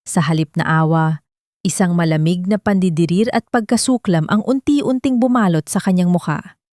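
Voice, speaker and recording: A woman's voice: fairly low pitch, moderate pace, darkish tone, no echo, studio quality. A woman narrator reading a scripted, dramatic love story.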